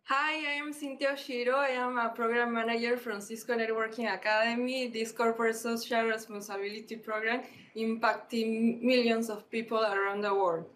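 A woman's voice: fairly high pitch, steady tone, normal speed, fairly bright tone, a little hiss or hum. A woman in her thirties with a Peruvian Spanish accent, giving a semi-formal update as a panel guest. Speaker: program manager, panel guest. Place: small plain office room, indoors, on a video call.

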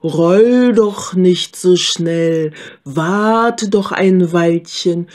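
Woman as a very slow mooing cow. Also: on the low side, darkish tone. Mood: slow, coaxing.

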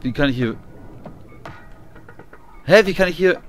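A man's voice: on the high side, animated, measured pace, slight background noise. A man giving casual, colloquial and often grumbling commentary while playing a video game. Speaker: gamer doing a let's play.

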